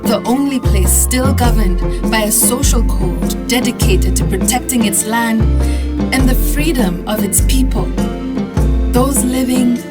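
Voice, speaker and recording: An actress plays a woman on the run who is grave, earnest and defiant. Her voice sits fairly high and she speaks slowly and deliberately, as in a dramatic motion comic, with music clearly behind her. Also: natural voice. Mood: grave, resolute.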